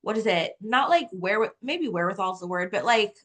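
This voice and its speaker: A woman in her thirties talks as a guest author in a relaxed interview. Her speech is conversational and animated, peppered with "like" as she explains things, at a middle pitch. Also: fairly quick. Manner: animated storytelling, heavy use of "like". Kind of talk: casual interview chat.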